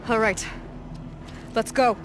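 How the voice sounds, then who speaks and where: A woman's voice: a little high, steady tone, slow pace. An actress playing a practical, determined young woman hunter in a video game, plain-spoken and confident. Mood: reassuring. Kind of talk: naturalistic video game acting. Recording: loud background noise. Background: loud ambience.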